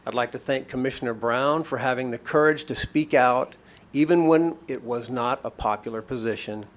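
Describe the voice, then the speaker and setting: A man reading a measured, appreciative statement at a podium microphone in a county courtroom. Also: medium pitch. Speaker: public commenter. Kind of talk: prepared public statement.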